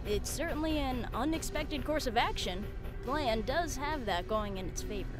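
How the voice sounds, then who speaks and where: A woman's voice: high-pitched, expressive, normal speed, music in the background. A woman playing an androgynous thirty-year-old male hero pilot, earnest and sardonic, in a sci-fi audio drama.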